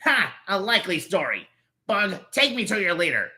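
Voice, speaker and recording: A man's voice: sits high, big pitch swings, normal speed, pushed and bright, voice pushed up, full character voice. A man voicing a pompous, impatient, scheming comic-book character with a brash, sarcastic, bossy manner. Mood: bossy, demanding. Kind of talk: comic book read aloud in character voices.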